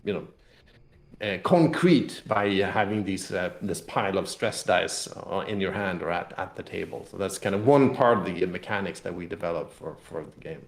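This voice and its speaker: The recording is roomy and echoing, and the man's voice sits fairly low. He talks casually as a designer being interviewed, feeling his way through explanations. His phrases restart and repeat as he goes. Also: normal speed. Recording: roomy, echoing room sound.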